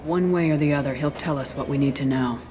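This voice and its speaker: A woman's voice: low voice, quick pace, natural voice. An actress playing a hard-nosed female military commander in a video game, speaking bluntly and tersely. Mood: cool, confident.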